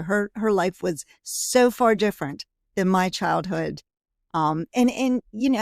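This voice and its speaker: Woman coach chatting on a podcast, mid pitch, dry close mic, lots of "you know"s. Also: a little mellow, no room sound. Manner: tells anecdotes with filler "you know". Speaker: coach and guest. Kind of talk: conversational interview storytelling.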